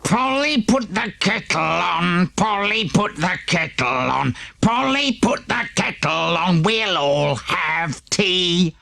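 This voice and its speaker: A man's voice: high-pitched, animated, slow, creaky, few pauses, sharp tone, pitched above the performer's own voice, exaggerated voice. A man voicing a grumpy big bad wolf pretending to be a grandma, sing-song and grumbling, in a children's audiobook. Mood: mock-sweet singing. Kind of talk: audiobook character acting.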